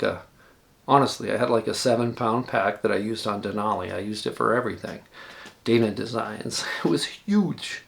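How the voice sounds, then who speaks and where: A man in his sixties giving casual, rambling hiking advice, often pausing and correcting himself. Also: medium pitch, vocal fry. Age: 60s. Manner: relaxed, rambling, self-correcting. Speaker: hiking vlogger.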